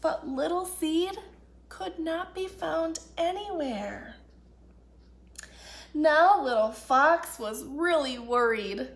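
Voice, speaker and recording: A woman reading a picture book aloud to children as an expressive storyteller. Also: sits high, slow. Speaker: children's storyteller, children's ministry director.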